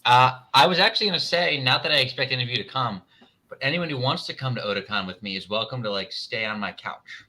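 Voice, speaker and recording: A man's voice: mid-range voice, animated, fast talker, pushed and bright, low-quality recording. A man playing in a tabletop game, chatting in a friendly way and cracking jokes. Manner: friendly, joking. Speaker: player. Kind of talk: casual chat at a tabletop game.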